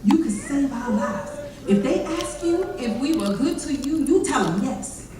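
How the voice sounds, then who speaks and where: A woman's voice: sits high, very animated, measured pace, over music. A woman playing a frightened plantation mistress, commanding and pleading as she coaches others, in a readers theater performance. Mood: urgent pleading. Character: frightened plantation mistress urgently coaching her slaves to lie. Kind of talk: readers theater acting on stage. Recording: distant mic in very echoey hall, noise.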